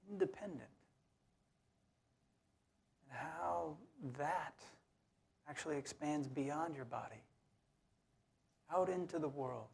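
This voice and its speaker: A man guides a meditation in a gravelly, creaky voice at a mid-range pitch. He speaks very slowly and soothingly, setting short phrases apart with long, unhurried pauses. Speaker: meditation leader.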